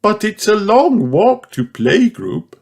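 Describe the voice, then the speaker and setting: A man's voice: high voice, very animated, slow, music in the background, pitched above the performer's own voice, full character voice. A man voicing a grown-up mummy pig who exclaims and mildly protests in a children's storybook. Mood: protesting.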